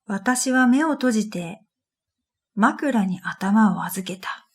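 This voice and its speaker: A woman playing a pensive dream reader, narrating her own story in a quiet, measured radio drama style. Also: mid-range voice, lively intonation, full character voice. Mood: weary, uneasy. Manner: quiet, measured, pensive, trailing into breathy phrase ends. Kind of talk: scripted first-person radio drama narration.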